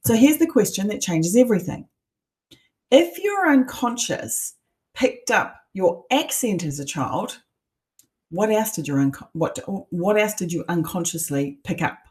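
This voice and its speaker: A woman's voice: mid-range voice, animated, measured pace, darkish tone. A middle-aged woman with a New Zealand accent, a coach teaching an online masterclass in an emphatic, persuasive way with rhetorical questions.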